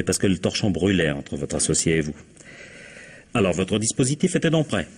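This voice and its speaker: Radio mystery inspector: low, husky, shrewd and self-assured, laying out his case with measured, probing confidence. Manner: measured, probing, ends points with "hein?", quietly triumphant. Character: shrewd, self-assured male police inspector explaining a crime. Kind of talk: French mystery radio play acting.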